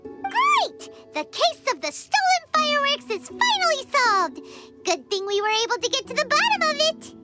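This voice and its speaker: A woman voicing a tiny, excitable, chatty fairy companion with a squeaky childlike voice in a video game. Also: normal speed, over loud music. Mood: excited. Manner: exclamatory, refers to herself in third person.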